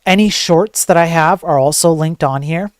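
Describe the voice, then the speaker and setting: A man with a soft voice chatting casually to viewers as a livestream host, in an informal, joking, rambling way. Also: a little high, normal speed, dry recording, studio quality.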